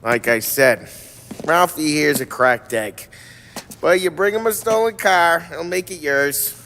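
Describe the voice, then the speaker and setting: An actor playing a cocky, teasing American mob enforcer in a 1930s crime game, with brash wiseguy talk. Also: male voice, on the high side, a little slow, gravelly, sharp tone, a little hiss or hum, full character voice. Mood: teasing, bossy. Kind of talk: cinematic video game acting.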